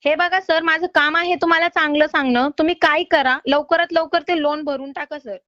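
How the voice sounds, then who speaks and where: Very high-pitched, insistent woman. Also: steady tone, fairly quick, bright, forceful tone, dry recording. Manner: insistent, polite but exasperated. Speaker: loan recovery caller. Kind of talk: phone collection call. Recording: slightly dull phone-call audio.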